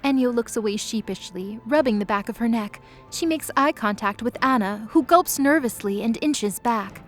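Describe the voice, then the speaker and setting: A woman's voice: a little high, moderate pace. A woman narrator reading a scripted dramatic story. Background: music bed.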